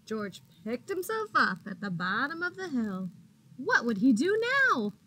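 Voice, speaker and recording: A woman teacher reading a picture book aloud to young children, expressively, pausing to put questions to them and gasping for suspense. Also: a little high, a little hiss or hum, low-quality recording. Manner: expressive, addresses children as friends, gasps for suspense.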